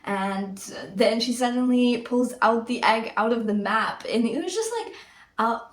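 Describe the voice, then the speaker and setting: A woman's voice: fairly high pitch, measured pace, echoey room. Chatty woman with a Russian first language. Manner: chatty, rambling, many fillers. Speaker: book vlogger. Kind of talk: casual book review vlog.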